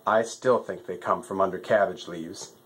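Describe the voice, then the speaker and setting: A man's voice: sits low, steady tone, moderate pace, fairly bright tone. A man voicing a stubborn, argumentative alligator in a children's storybook, insisting on his point. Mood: defiant.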